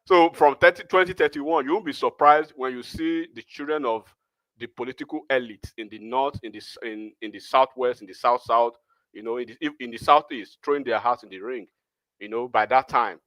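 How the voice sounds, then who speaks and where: A man's voice: mid-range voice, fairly quick, fairly bright tone, no room sound, low-quality recording. A Nigerian man with a Yoruba accent, hosting a casual political discussion and talking in long, rambling runs full of restarts. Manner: rambling, many restarts and fillers.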